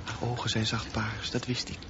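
A man giving dreamy, lyrical literary narration in a radio drama, in a hushed storytelling manner. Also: medium pitch, monotone, normal speed, natural voice. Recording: muffled recording with faint hum.

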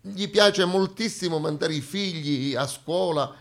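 A man's voice: high-pitched, unhurried. A man performing a satirical, colloquial theatre monologue on stage, ironic and full of rhetorical questions.

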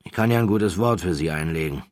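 A man voicing a gruff, unimpressed detective in an audiobook, growling and dry in manner. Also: low-pitched, quick pace, a little mellow, no echo, lightly acted. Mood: gruff, bored. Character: gruff, bored, unimpressed male detective escorting a prisoner.

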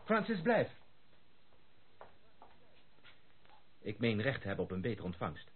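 Low, animated gentleman, noisy recording. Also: brisk. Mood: formal, insistent. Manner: formal, polite, insistent. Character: courteous, earnest young gentleman suitor. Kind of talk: Dutch radio play acting. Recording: noisy, thin phone-like old radio recording.

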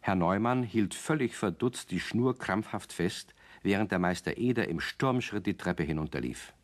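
A man's voice: sits low, lively intonation, normal speed. A man narrating a children's radio play in a scripted storytelling style.

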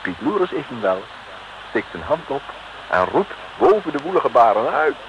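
A man's voice: medium pitch, very animated, normal speed, heavy background noise. A man narrating an old Dutch radio serial in a dramatic, scripted storytelling style.

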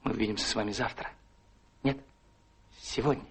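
An actor playing an impulsive, ardent young army officer in a radio play, his voice husky and breathy. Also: medium pitch, steady tone, brisk, a bit bright, heavily acted. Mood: ardent, infatuated.

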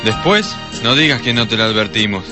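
A man's voice: on the low side, animated, brisk. A man announcing a mock-dramatic radio promo. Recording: loud music under the voice.

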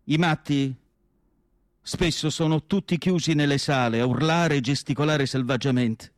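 Male actor as melancholic asylum doctor-diarist, slightly high male voice, solemn literary recitation, deliberate pauses, radio drama, close dry sound. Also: no echo, lightly acted. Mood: reflective, recollecting. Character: male asylum doctor-writer, reflective, melancholic, writing his farewell diary.

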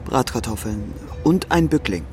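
A man's voice: mid-range voice, lively intonation, normal speed, noisy background, natural voice. An actor playing an anxious, earnest young husband and salesman, polite and hesitant, in a radio drama. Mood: polite, modest. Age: young adult. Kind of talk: German radio drama acting.

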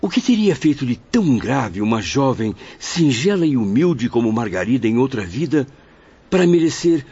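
A man's voice: mid-range voice, big pitch swings, moderate pace, warm tone, husky. A man playing an anxious, deferential pupil in a radio drama, asking in an eager, pleading way. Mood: troubled, pleading. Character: anxious, deferential male pupil among spirits. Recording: dull, muffled radio-play recording.

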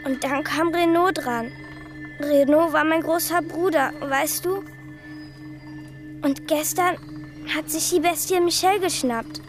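Earnest, high-voiced little storytelling girl. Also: slow pace, long pauses, over music. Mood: eerie, earnest. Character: seven-year-old girl, eerily matter-of-fact storyteller, polite. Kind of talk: radio drama acting.

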